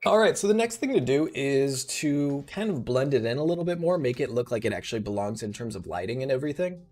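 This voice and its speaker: A man in his thirties hosting a live software tutorial, thinking aloud in a relaxed way, his voice a little rough. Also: mid-range voice, fast, faint music behind. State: slightly rough voice. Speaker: host.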